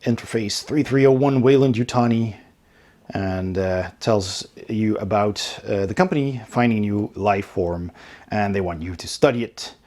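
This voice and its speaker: A man's voice: fairly low pitch, normal speed, a little mellow. A man hosting a casual unboxing video, thinking aloud and hesitating as he describes each item. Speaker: host of a board game unboxing channel.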